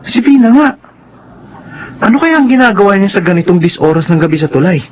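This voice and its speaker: A man acting a concerned passerby who muses aloud to himself in an animated radio drama style. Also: sits high, fairly quick, dull audio. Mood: puzzled, concerned. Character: curious, concerned male passerby muttering to himself. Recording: loud vehicle and street noise behind.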